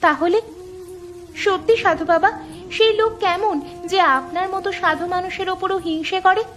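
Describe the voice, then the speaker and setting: Kind young village girl for a cartoon, really high bright voice, earnest. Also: moderate pace, faint music behind. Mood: concerned. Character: kind, curious young village girl who sells mangoes. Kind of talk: cartoon storytelling voice acting.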